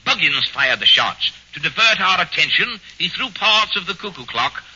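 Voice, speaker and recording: A man's voice: high voice, big pitch swings, normal speed, sharp tone, slightly rough, heavily acted. A man playing a pompous, clipped-speaking detective with affected upper-class English manners in a 1940s radio comedy. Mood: deducing. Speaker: comedy lead. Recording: muffled 1940s radio broadcast.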